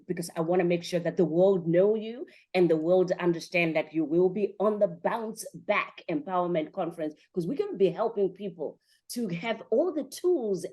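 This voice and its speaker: Woman hosting, low-pitched and encouraging. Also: warm tone. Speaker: podcast host and coach. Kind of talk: motivational interview hosting.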